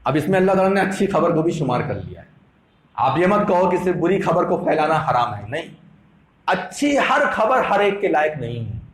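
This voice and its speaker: A man's voice: on the high side, big pitch swings, normal speed, a little hiss or hum. A man preaching an Islamic sermon, emphatic and didactic, repeating phrases for effect. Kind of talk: Islamic sermon with Quran quotations. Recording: echoey room sound.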